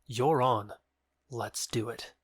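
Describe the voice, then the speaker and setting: A man's voice: medium pitch, steady tone, takes it slowly, fairly bright tone, husky, no room sound, studio quality. A man playing a proud young rival in love, sneering and challenging, in a modernized Shakespeare audio drama. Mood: taunting.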